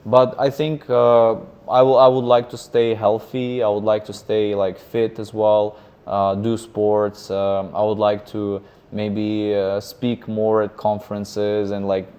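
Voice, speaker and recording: A man in his thirties, a guest in an interview, speaking casually and haltingly with many fillers and restarts. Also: on the low side, level tone, darkish tone. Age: 30s. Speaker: guest, freelancer.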